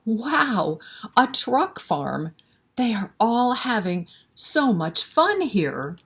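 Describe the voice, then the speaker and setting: A woman's voice: a little high, big pitch swings, drawn-out words, fairly bright tone, husky. A woman leading a children's story time, reading a picture book expressively and chatting to the children with questions and encouragement. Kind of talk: animated picture-book read-aloud with asides to children.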